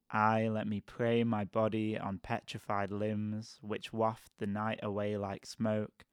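A man with a low, smooth, resonant voice and a measured, even delivery. He reads slowly and deliberately, like a poet at a reading. The sound is dry and close. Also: no room sound. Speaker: guest poet. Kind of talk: poetry reading then casual chat.

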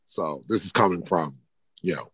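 Husky low-voiced man giving tips. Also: normal speed, no echo. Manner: relaxed, gives practical tips with asides. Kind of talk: casual advice chat.

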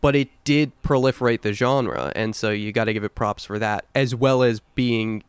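A man's voice: mid-range voice, steady tone, moderate pace, smooth, no room sound. A man co-hosting a podcast, talking casually and with firm opinions about video games. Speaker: podcast host.

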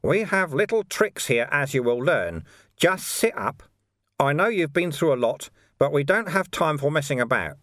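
A man doing a higher-pitched, wily old monk. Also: very animated, moderate pace, a bit bright, no echo, clean studio sound. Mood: amused, friendly. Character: cheery, wily, skinny old bald monk posing as a sweeper.

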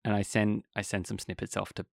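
A man's voice: on the low side, completely flat delivery, fairly quick, gravelly, no echo, studio quality. A man with an Australian accent hosting a casual podcast chat, rambling and chatty with lots of fillers and restarts. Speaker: podcast host.

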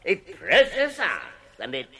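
A wretched, greedy creature from a fantasy radio play. The voice is very creaky and strangled, high, and swoops wildly as it wheedles and whines to itself. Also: male voice, wildly swooping pitch, unhurried, pushed and bright, exaggerated voice. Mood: sly, menacing. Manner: muttering to himself, wheedling, whining. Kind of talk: radio drama acting.

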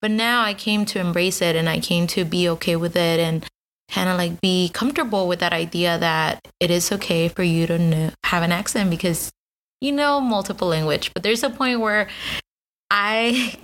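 A woman from Austin, Texas, speaks with a Spanish accent, since Spanish was her first language. She co-hosts a podcast in a casual, earnest way, with a somewhat low voice. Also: moderate pace, a bit bright. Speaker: podcast co-host. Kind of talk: casual chat.

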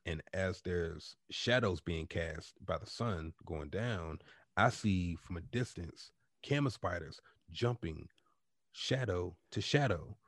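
A man with a deep voice telling an animated story in casual chat with friends, swearing freely and stumbling on restarts. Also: takes it slowly, no echo, studio quality. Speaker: podcast co-host telling a story.

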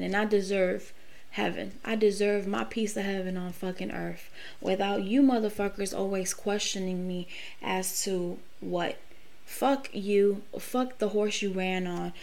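Podcast host, woman, late 30s, frank and emphatic, swears freely, mid-pitched voice. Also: a little mellow. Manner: candid, profane, emphatic. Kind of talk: personal monologue.

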